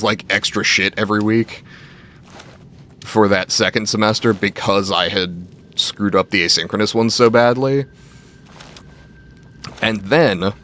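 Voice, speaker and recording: Low-voiced young man, casual streamer. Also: a bit bright. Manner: rambling storytelling, self-deprecating, swears casually. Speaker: game livestreamer. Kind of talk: casual chat to stream viewers. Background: faint game music.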